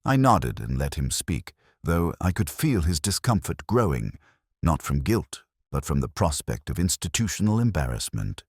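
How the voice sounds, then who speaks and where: A man narrating a scripted first-person detective story in a measured, reflective, literary manner. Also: rumbling low, very animated, husky, no echo, clean studio sound.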